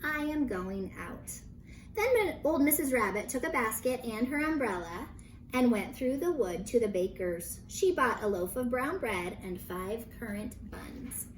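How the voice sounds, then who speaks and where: A woman teacher reading a children's story aloud with animated emphasis, dramatic pauses and brief asides to her young listeners. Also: fairly high pitch, a little hiss or hum, rough recording.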